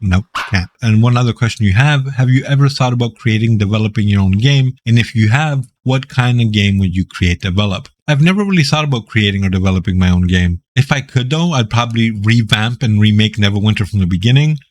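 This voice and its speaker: A man from Greece talks through viewer questions in a relaxed, rambling way. He has a raspy voice that sits on the low side. He jokes often and keeps his delivery loose and unhurried. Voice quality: deep, raspy. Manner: laid-back, joking, rambling. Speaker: gaming YouTuber. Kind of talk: casual Q&A reading viewer questions.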